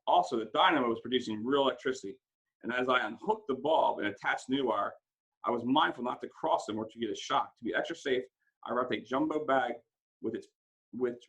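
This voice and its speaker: A man reading a first-person story aloud in an expressive, dramatic way, occasionally stumbling over a word. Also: mid-range voice, fairly quick, vocal fry, breathy edge, low-quality recording. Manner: dramatic, acts out dialogue, stumbles over words now and then. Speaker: school principal reading a book aloud.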